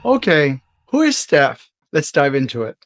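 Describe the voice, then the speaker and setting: Earnest French Canadian man, higher voice. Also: big pitch swings, no room sound, faint music behind. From Quebec, Canada. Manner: earnest and heartfelt, slows down for emphasis. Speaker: podcast host. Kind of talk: solo podcast monologue.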